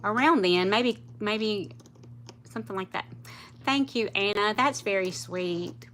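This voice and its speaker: A woman's voice: mid-range voice, a little slow, a bit bright, a little hiss or hum. A woman, chatting casually and rambling on a livestream with viewers. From South Louisiana, US. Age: 50s. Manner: chatty, rambling, laughs easily. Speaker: livestream host.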